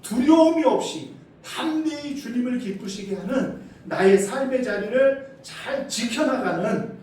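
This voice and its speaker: A middle-aged pastor from South Korea preaches to his congregation in a high, mellow voice. He keeps asking the congregation questions and moves between loud, emphatic lines and low, quiet asides. He is recorded from a distance in a very echoey church hall with faint background hiss. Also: lively intonation, measured pace. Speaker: senior pastor. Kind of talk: sermon. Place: church sanctuary.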